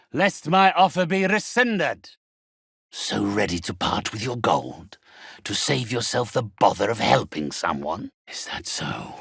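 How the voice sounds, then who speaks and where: An actor playing a sinister, haughty undead necromancer in a video game, with a raspy voice and formal, drawn-out, menacingly polite delivery. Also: male voice, fairly low pitch, fairly bright tone, no echo, studio quality, heavily acted. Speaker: spirit medium. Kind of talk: theatrical video game villain acting.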